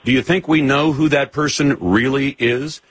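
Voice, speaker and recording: A man's voice: mid-range voice, fairly even intonation, moderate pace, breathy edge. A man hosting a call-in radio talk show, pressing callers hard with pointed questions and cutting in.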